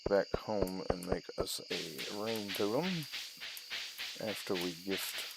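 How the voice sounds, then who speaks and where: A man giving casual commentary on a game he is playing, muttering and thinking aloud in short fragments over loud noise and clicks. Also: deep voice, lively intonation, takes it slowly, creaky. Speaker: gaming video host.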